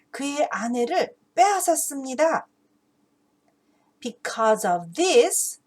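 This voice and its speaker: Woman voicing a stern, very slow prophet. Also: sits high, a little mellow, heavily acted. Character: stern male prophet rebuking a king.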